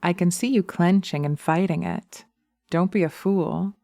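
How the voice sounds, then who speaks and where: A woman's voice: lowish voice, unhurried, mellow, no echo, clean studio sound. A woman voicing a scornful, domineering witch who taunts and commands in a hypnosis roleplay audio. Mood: taunting, commanding.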